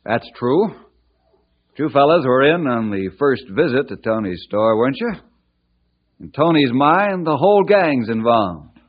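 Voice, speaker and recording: Upright American mentor, animated delivery. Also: male voice, mid-range voice, unhurried. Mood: firm, persuasive. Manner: earnest, moralizing, reassuring. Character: patient, upright man mentoring a boys' gang. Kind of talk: old-time radio drama acting. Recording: muffled old radio recording.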